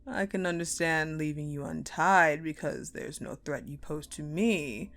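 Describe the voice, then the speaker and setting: A woman playing a cold, sadistic female general in a dark audio roleplay, speaking deliberately with mocking condescension and dramatic pauses. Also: low-pitched. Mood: mocking amusement. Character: sadistic, coldly menacing ice-queen general, commanding and mockingly sweet. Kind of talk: dark audio roleplay acting, addressed to the listener.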